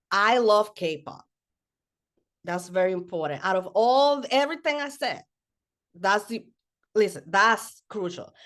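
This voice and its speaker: A woman from the Dominican Republic whose first language is Spanish, introducing herself as a webinar panelist in a lively, playful way over a video call. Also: medium pitch. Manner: lively and playful, with a slow unhurried delivery. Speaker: panelist, mental health educator.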